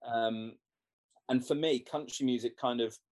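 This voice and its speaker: A man at an ordinary middle pitch talks through his thoughts as a musician being interviewed. He rambles, restarting sentences and leaning on fillers as he goes. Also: steady tone, unhurried, darkish tone. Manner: rambling, many restarts and "you know"s. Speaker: guest musician. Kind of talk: casual interview chat.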